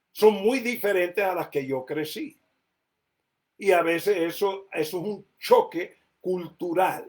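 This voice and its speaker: A Colombian-born man raised in the United States, preaching a conversational sermon with a Caribbean Spanish accent, often repeating words as he thinks. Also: high voice. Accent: Caribbean Spanish, Cuban and Puerto Rican influenced. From Colombia, raised mostly in the United States. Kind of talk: sermon teaching.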